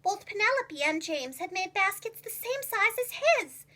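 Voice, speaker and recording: A woman telling a children's story aloud as a preschool teacher, with expressive, carefully enunciated delivery. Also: very high-pitched, moderate pace.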